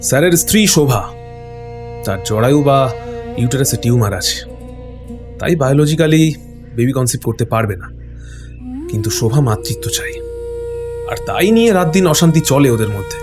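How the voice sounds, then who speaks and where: A man's voice: mid-range voice, big pitch swings, moderate pace, long pauses, over music, lightly acted. A man playing an earnest, deferential junior investigator reporting to his superior in a horror audio drama. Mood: earnest, sombre.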